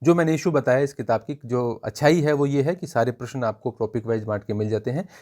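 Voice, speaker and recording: A man giving an informal spoken review for students as an exam coach, explaining in a measured way and pointing out examples. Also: mid-range voice, dark tone.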